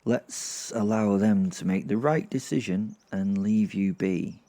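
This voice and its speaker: A man's voice: lowish voice, slow pace, warm tone. A man telling a story as podcast host, in an informal, rambling way. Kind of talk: first-person dramatic fantasy narration.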